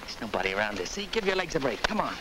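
An actor playing a lazy, cocky young American soldier in a 1940s film, slangy and offhand. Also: male voice, mid-range voice, big pitch swings, very fast, lightly acted. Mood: griping, dismissive. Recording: noisy old outdoor film soundtrack.

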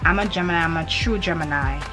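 A young Grenadian woman talks straight to the camera, her voice sitting a little low for a woman. She is animated and emphatic, circling back to repeat her points. Music plays clearly underneath her speech. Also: fast talker. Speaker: vlogger. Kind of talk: casual to-camera chat. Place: indoors in front of a hanging cloth backdrop. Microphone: camera in front at head-and-shoulders framing.

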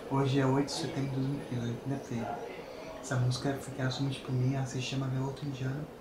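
A man in his thirties with a Brazilian Portuguese accent, filming himself in a small bedroom and rattling off his personal facts in a quick, flat run. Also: mid-range voice, very noisy. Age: 30s. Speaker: vlogger. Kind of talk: self-recorded spoken introduction. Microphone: camera held close at arm's length. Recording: echoey small bare room.

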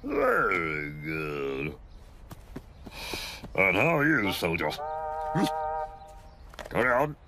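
Casting: bumbling British cartoon man-child, self-muttering, wildly varied pitch, creaky. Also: wildly swooping pitch, background noise, exaggerated voice. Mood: absorbed muttering. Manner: mumbling, halting, fragmentary self-talk. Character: bumbling childlike eccentric middle-aged man muttering to himself. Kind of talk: cartoon voice acting.

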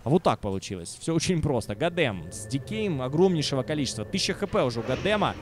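A man's voice: a little high, sing-song, quick pace. A man commentating a video game match live, with casual, sardonic asides to viewers. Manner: wry, sardonic. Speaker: esports commentator.

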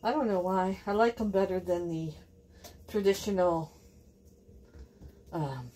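A middle-aged woman with a Canadian accent, chatting to viewers in a relaxed, rambling way while cooking in her home kitchen. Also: on the low side, measured pace. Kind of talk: chatty kitchen vlog narration. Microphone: camera on counter.

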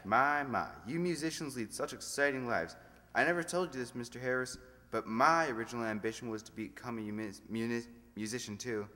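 A man's voice: mid-range voice, fairly quick, bright, forceful tone, close to an everyday voice. A man playing a polite, hesitant, deferential gardener in an old-time radio comedy. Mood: wistful. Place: amateur stage reading in a hall. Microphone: stand microphone. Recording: echoey stage in a large hall.

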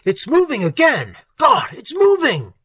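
Pulp horror scientist, man performing: commanding, urgent, emphatic, raspy edge, forceful delivery. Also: high voice, huge pitch swings, measured pace, no echo, voice pushed up, full character voice. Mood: frantic, alarmed. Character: urgent male scientist at the wheel, commanding, explaining monster lore. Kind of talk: dramatized horror story reading.

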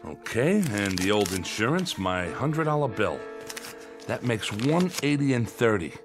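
An actor playing a bossy, impatient small-time crook in a radio drama, brash and wisecracking. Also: male voice, fairly low pitch, big pitch swings, unhurried. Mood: scheming, excited. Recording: radio drama with music underneath.

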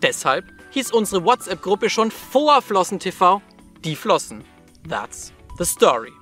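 High, bright young man, wryly ironic. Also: very animated, a little slow, faint music behind. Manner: wry, ironic. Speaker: video presenter; teacher. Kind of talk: scripted piece to camera.

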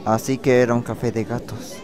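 A man's voice: mid-range voice, little pitch movement, a little fast, crowd noise, close to an everyday voice. A man voicing a brooding, awkward teenage boy in a fan dub, speaking hesitantly and introspectively. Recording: music playing behind the voice.

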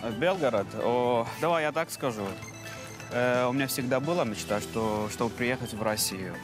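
A man's voice: medium pitch, moderate pace. Syrian man in his thirties, outdoor street chat, friendly and thoughtful, music bed beneath. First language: Arabic. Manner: friendly, thoughtful, non-native phrasing. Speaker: dental resident. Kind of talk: casual interview.

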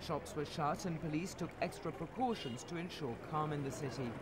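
A woman reporter reading a scripted news voice-over in a measured, formal delivery. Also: low-pitched. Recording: loud crowd and street noise behind.

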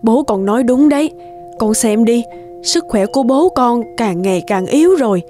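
A woman voicing a middle-aged, well-off mother in an animated moral tale, insistent and nagging. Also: fairly high pitch, moderate pace, soft background music, close to an everyday voice. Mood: reproachful. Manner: reproachful, insistent.

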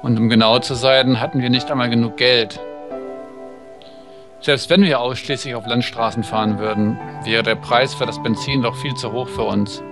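A man's voice: medium pitch, fairly even intonation, normal speed, natural voice. A man reading the part of an awkward young driver who narrates a visual novel, over music. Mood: pensive. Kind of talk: visual novel read aloud.

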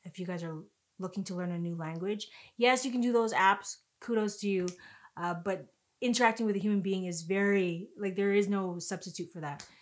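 A young woman vlogger telling a story in casual chat, animated and expressive, recorded on a sofa in a living room. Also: mid-range voice, a little fast.

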